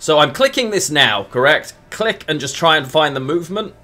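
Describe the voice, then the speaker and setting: A man's voice: fairly high pitch, expressive, moderate pace, bright, forceful tone, faint music behind. A man from England streaming a card game, thinking aloud between moves and answering chat casually with lots of murmured fillers. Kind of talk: casual live-stream chat while playing.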